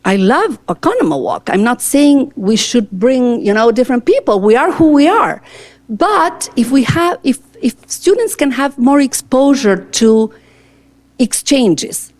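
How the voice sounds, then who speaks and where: An expressive, talkative woman with an Argentine Spanish accent, her first language being Spanish. Her voice sits a little high as she answers interview questions earnestly and at length. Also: unhurried. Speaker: board seat candidate.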